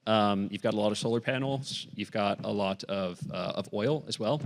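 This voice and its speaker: Male panelist, somewhat low, conversational, live hall sound. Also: moderate pace. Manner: casual, thinks aloud with "like" and "you know". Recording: hall with long reverb.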